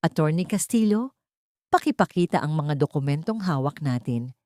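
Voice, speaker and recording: A woman voicing a wronged heiress in an audio drama, composed and quietly vengeful, speaking gently but with a cutting, authoritative edge. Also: low-pitched, expressive, moderate pace, dry recording, clean studio sound. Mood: calm command. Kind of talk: dramatic audio story acting.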